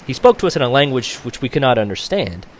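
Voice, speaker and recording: A man reading a novel aloud as a teacher would for his class, in an expressive audiobook style. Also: medium pitch, fast.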